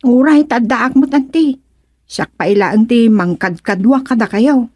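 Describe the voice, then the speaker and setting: An actress playing a caring young niece with an Ilocano accent in a radio drama comedy. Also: female voice, a little high, a little slow, close to an everyday voice. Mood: concerned. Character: caring, gentle young niece looking after her uncle.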